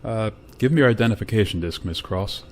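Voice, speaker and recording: A man playing a grave, reluctant spaceship pilot in a radio drama, speaking in a solemn, halting way. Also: fairly low pitch, a little mellow. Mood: grave, official. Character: grave, reluctant male spaceship pilot bearing terrible news. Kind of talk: radio drama acting with narration.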